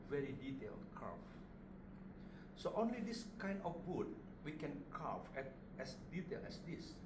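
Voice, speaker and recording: A man with an Indonesian accent giving an informal demonstration as a craftsman, speaking simple, halting English in short phrases. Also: a little high. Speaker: puppet master and craftsman. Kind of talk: informal live demonstration talk to visitors. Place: indoor studio workshop. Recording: roomy, distant mic, loud background noise.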